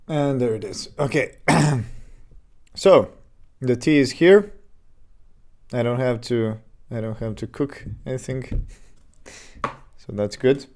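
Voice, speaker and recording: A man's voice: mid-range voice, slow pace, pauses often. A man streaming his game development live, chatting casually with fillers and restarts while getting over an illness with a slight cough. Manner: relaxed, rambling, many fillers and restarts.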